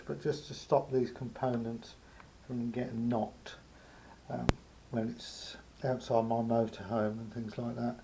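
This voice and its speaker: Creaky-voiced man, halting hands-on demonstration. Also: medium pitch, dark tone, rough recording. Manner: halting, pausing mid-phrase. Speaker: hobbyist showing a homemade radio aerial project. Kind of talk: casual unscripted hands-on demonstration. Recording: faint background noise, amateur camera recording.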